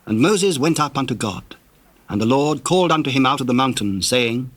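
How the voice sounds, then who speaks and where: A man narrating a dramatized scripture reading in a solemn, formal and measured storytelling manner. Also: mid-range voice, animated.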